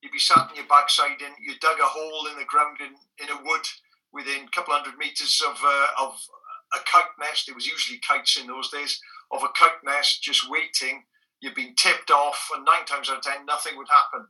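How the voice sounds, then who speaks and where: Frank Welsh man, bright, off-mic. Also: a little high, a little fast, far from the mic, rough recording. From Wales. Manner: frank, animated storyteller with frequent restarts. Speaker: guest, former bird protection officer. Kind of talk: conversational anecdote.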